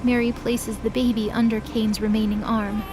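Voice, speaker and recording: A woman's voice: on the high side, level tone, normal speed. A woman reading scripted, dramatic story narration as the narrator of an audio drama. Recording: clear background noise under voice.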